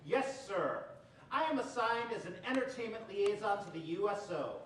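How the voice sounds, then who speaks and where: A man's voice: high voice, big pitch swings, normal speed, a bit bright, a little hiss or hum. A young man playing a polite, formal army officer in an old-time radio comedy performed on stage. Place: theatre stage. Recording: echoey stage from far off, music.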